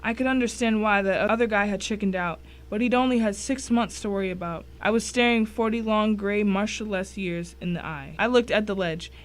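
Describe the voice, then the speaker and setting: A woman as a mid-pitched, grim thriller narrator. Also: fairly quick, few pauses, lightly acted. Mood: grim, wry. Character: grim, wry thriller narrator recounting a dangerous ordeal. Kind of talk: dramatic first-person scripted narration.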